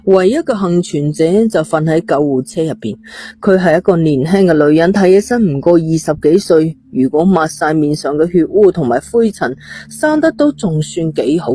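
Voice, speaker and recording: A woman's voice: deep voice, expressive, normal speed, warm tone, husky. A woman, narrating a scripted thriller story. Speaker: audiobook narrator.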